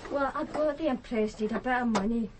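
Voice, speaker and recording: High-voiced young Glasgow woman, played by an actress. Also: fast talker, background noise, close to an everyday voice. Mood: defensive. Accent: Scottish, Glasgow. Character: naive, defensive young Glasgow woman, dead woman's flatmate. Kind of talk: naturalistic radio drama acting.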